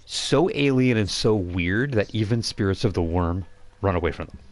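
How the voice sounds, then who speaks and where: A man with a low voice acts as game master for a tabletop game. He explains the scene informally and haltingly, as if chatting with friends at the table. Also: expressive, a little mellow. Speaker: game master and stream host. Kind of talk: casual tabletop game narration.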